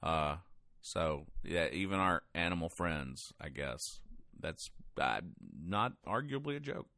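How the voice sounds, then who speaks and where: A man hosting a podcast, casually reading out comic strips and explaining the jokes in a deadpan way. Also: low-pitched, a little slow, no room sound, studio quality. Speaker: podcast host.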